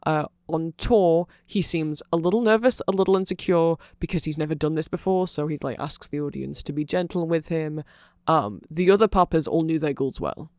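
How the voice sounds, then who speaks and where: A man's voice: a little high, moderate pace, a little mellow, no room sound, studio quality, close to an everyday voice. A man voicing a nerdy vampire who gives a rambling, earnest and fannish ASMR explanation full of fillers. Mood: eager, chatty.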